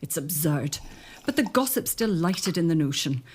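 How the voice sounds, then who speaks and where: An actress playing a genteel sister-in-law in a period audio drama, who talks down gently and coaxingly. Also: female voice, low voice, lively intonation, moderate pace, husky. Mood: gossipy.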